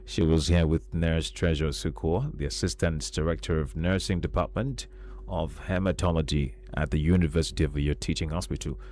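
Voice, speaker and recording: A man hosting a morning radio talk show in a studio, relaxed and using many "uh" fillers. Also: a bass voice, moderate pace, a little hiss or hum.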